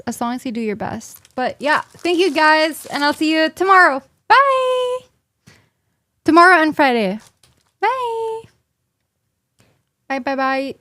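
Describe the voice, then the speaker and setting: A woman's voice: high voice, fairly bright tone, no echo. A young woman streamer chatting casually with her viewers, blunt and sarcastic. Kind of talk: casual livestream chat.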